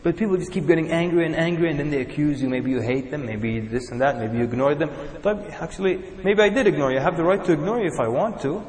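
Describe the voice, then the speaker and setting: A man preaches to a gathered audience, his voice sitting a little high for a man. He brings his points to life by acting out small scenes. His voice rings around a large hall with a long, trailing echo. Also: quick pace, few pauses. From Sudan. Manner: animated, acts out examples in little voices. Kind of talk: sermon to a live audience. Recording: echoey hall with long reverb.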